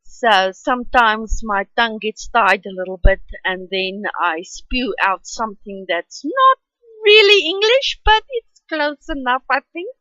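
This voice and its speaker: A woman's voice: on the high side, sing-song, a little slow, sharp tone, dry recording, dull audio. A woman with an Afrikaans accent, whose first language is Afrikaans, chats and rambles with many ums while narrating her drawing at home. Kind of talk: casual voice-over for a speed drawing.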